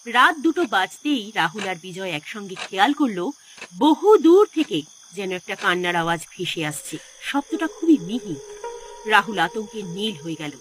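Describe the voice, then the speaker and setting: Expressive woman narrating a horror story. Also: medium pitch, normal speed, a little hiss or hum.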